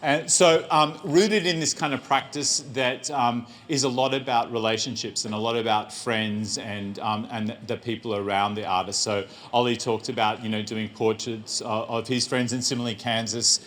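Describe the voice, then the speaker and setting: Man, gallery host, halting and filler-heavy, handheld mic in a gallery space. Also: mid-range voice, steady tone, fairly bright tone. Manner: rambling, halting, many fillers and restarts. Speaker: gallery director hosting an artist talk. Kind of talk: live public conversation. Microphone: handheld microphone.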